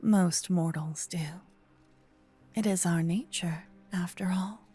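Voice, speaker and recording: A woman voicing a gentle, possessive old female vampire in an ASMR roleplay, murmuring and whispering close to the microphone. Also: on the low side, fairly even intonation, faint music behind, full character voice. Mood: seductive, musing. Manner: breathy, slow whispering and murmuring. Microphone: close binaural microphone.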